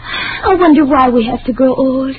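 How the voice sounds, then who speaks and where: A woman's voice: high-pitched, moderate pace, slightly rough, faint music behind. An actress playing a childlike, lonely woman of nearly forty in an old-time radio drama, with a timid, wistful, pleading manner. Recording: tinny, noisy old radio recording.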